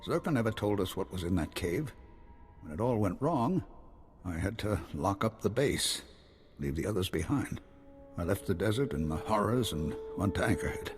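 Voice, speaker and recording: An actor playing an anxious, proud old hermit farmer and former guard in a video game, hesitant and halting. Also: male voice, on the low side, lively intonation, lightly acted. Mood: haunted. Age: older. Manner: hesitant, halting, repeating himself. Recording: long hall reverb, music behind.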